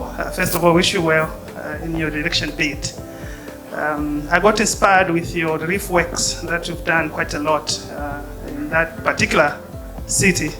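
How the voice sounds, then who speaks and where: Hombre de Zambia con voz aguda, preguntando. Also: male voice, on the high side, poor audio. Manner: measured, polite, thoughtful questioning. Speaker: audience member asking a question. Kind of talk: workshop Q&A question.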